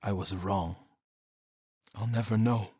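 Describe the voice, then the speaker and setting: An actor playing a grieving, vengeful warrior monk in feudal Japan for a video game, with a husky, solemn, intense and formal delivery. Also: male voice, fairly low pitch, fairly even intonation, slow, dark tone, dry recording, studio quality. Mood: grim, bitter. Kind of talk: dramatic video game voice acting.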